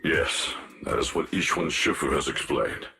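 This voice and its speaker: An actor playing a stern but humble martial arts master in a video game, speaking in a measured, grave, lecturing way with a gravelly voice. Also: male voice, extremely low pitch, bright, forceful tone, heavily acted. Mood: calm, humble. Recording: roomy echo, music underneath.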